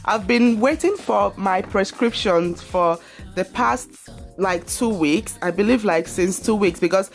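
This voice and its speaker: A woman's voice: mid-range voice, lively intonation, unhurried, gravelly, soft background music. A woman in her thirties, a mom vlogger, chatting heartfelt and casual to her viewers with lots of filler words, filmed on a camera held at arm's length. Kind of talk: casual vlog talk to viewers.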